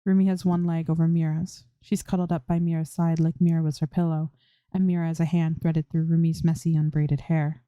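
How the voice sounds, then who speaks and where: Female narrator for story reading, low and soft-edged, dry close recording. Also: flat delivery, brisk, no echo. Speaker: fan fiction reader.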